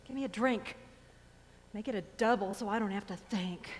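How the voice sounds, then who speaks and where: A woman's voice: mid-range voice, normal speed, slight background noise, natural voice. A woman acting a loyal, world-weary jazz club regular in a stage drama, with folksy slang. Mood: wistful, weary. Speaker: actor in staged reading. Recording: echoey theater hall.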